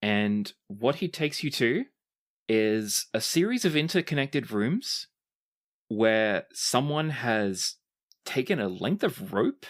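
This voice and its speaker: Measured male game master narrating. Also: on the low side. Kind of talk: narrating a tabletop roleplaying game.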